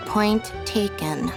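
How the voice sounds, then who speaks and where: A woman voicing a deadpan, unbothered young sister in a video game. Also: fairly high pitch, vocal fry, heavily acted. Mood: resigned. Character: deadpan, unhurried young sister with a sweet tooth. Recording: game music behind the voice.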